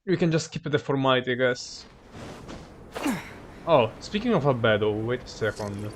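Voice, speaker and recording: A man streaming a game with casual live commentary, halting and rephrasing as he goes. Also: medium pitch, lively intonation, background noise.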